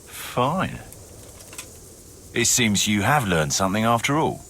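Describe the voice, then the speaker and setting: An actor playing a cynical, roguish mercenary captain in a video game, sardonic and swaggering, with a rough voice. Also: male voice, lowish voice, very animated, slow, bright, forceful tone, slight background noise, exaggerated voice. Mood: wry, conceding. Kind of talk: dramatic video game dialogue.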